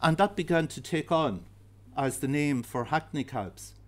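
A man's voice: fairly high pitch, unhurried. A man in his fifties with an Irish accent giving a public history lecture in a hesitant, discursive way. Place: darkened heritage centre auditorium.

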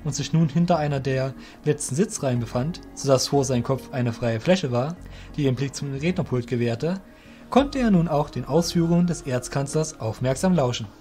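A man narrating a scripted fantasy audio drama as a storyteller, with measured delivery. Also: medium pitch, lively intonation, warm tone, faint music behind.

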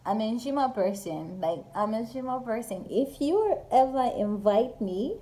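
A woman's voice: fairly high pitch, lively intonation, measured pace, dark tone, a little hiss or hum. A young woman from Zambia, chatting casually to her phone camera in a small room, rambling with lots of fillers. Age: young adult. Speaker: YouTuber. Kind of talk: casual get-to-know-me vlog. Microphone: phone camera at arm's length.

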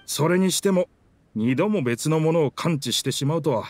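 A man voicing a proud, boastful talking pirate ship in a Japanese game dub, with theatrical, rough masculine speech. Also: fairly high pitch, lively intonation, moderate pace, a little mellow, no echo. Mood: reflective. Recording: music under the dialogue.

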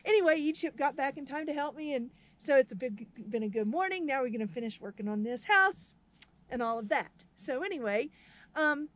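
A woman's voice: sits high, animated, brisk. A middle-aged woman, a history teacher, chatting casually and laughing easily while filming herself outdoors at arm's length. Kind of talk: casual outdoor vlog chat. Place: outdoors at a windy off-grid camp. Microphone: camera at arm's length.

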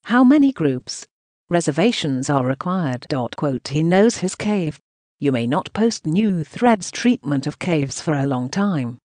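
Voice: medium pitch, big pitch swings, measured pace, darkish tone, no room sound. A synthetic text-to-speech voice reading translated news narration in a choppy way, speaking punctuation marks aloud.

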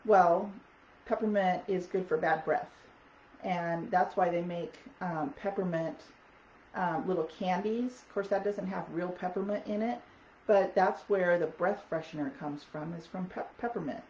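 A woman vlogger with a raspy, hoarse voice chatting casually about wellness products, with lots of ums. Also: on the low side, unhurried, darkish tone. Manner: chatty, many ums, reads product notes aloud. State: hoarse from talking. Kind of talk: casual product talk to camera. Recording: echoey dull audio with faint noise.

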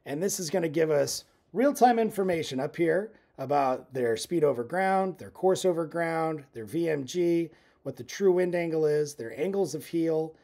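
Man explaining with lively, swooping pitch. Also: moderate pace. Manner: conversational, explanatory. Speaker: sailing coach. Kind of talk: instructional explanation, informal walkthrough.

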